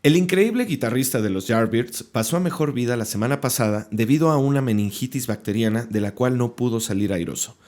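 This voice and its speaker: A man's voice: medium pitch, fairly quick, few pauses, darkish tone. A Mexican man reading scripted news briefs informally as a podcast host.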